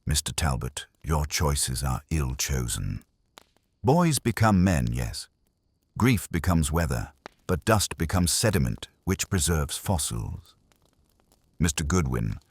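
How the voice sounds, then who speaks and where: A man's voice: very deep voice, sing-song, takes it slowly, breathy edge, dry recording, heavily acted. A man playing an imperious, ponderous genius detective in an audiobook mystery, formal and pronouncing in manner. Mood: measured disdain.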